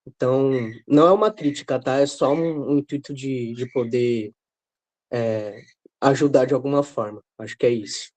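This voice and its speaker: A man's voice: medium pitch, normal speed, a little mellow, poor audio. A man with a cold and a breaking voice, asking questions casually and earnestly on a video call. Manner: casual, earnest, ends phrases with "sabe?".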